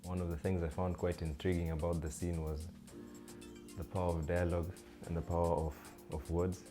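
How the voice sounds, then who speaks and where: A man's voice: sits low, little pitch movement, a little fast, music in the background. A young man from Kenya, a student actor, giving a thoughtful interview and searching for his words.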